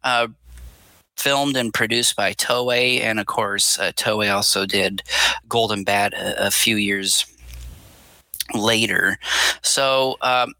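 Male podcast co-host, very bright tone, dry close sound, loose chatty delivery. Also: fairly low pitch, steady tone, slow, pauses often, no room sound, poor audio. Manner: chatty, rambling with fillers. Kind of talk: casual chat.

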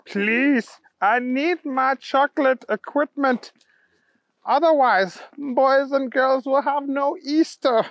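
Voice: high voice, dry recording, lo-fi sound, pitched above the performer's own voice. A storyteller voicing a heroic male Easter Bunny karate master, firm and earnest, in a children's story. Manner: earnest, firm, slow deliberate warnings, martial cries.

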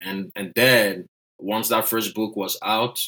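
Man from Côte d'Ivoire, native French speaker, lowish voice, reflective storyteller, podcast guest. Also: fairly even intonation, slow pace, bright, forceful tone. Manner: reflective, storytelling, self-deprecating. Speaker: guest economist. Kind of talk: podcast interview.

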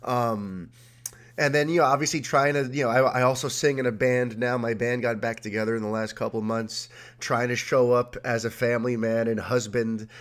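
A man's voice: mid-range voice, moderate pace. A man hosting a podcast in a casual, rambling monologue, his voice nasal and congested from a cold. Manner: chatty, rambling, energetic. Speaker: podcast host.

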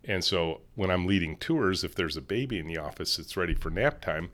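A middle-aged man with a deep voice, a company leader telling stories in an interview and acting out dialogue. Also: a little fast. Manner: animated storyteller, acts out dialogue in higher voices. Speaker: CEO and co-founder, interview guest. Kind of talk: storytelling in a podcast interview.

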